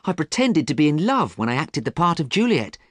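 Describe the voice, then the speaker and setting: A man's voice: high-pitched, sing-song, moderate pace, a little mellow, breathy edge, no echo, clean studio sound, pitched above the performer's own voice, full character voice. A man voicing an earnest, lovestruck young actress in an audiobook story. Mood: joyful.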